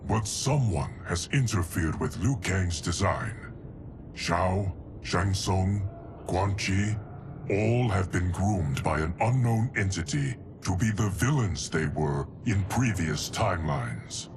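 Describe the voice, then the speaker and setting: A deep, grave lore-keeper. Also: male voice, lively intonation, noisy background, exaggerated voice. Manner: slow, grave, deliberate. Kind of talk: video game cutscene acting. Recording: loud orchestral score underneath.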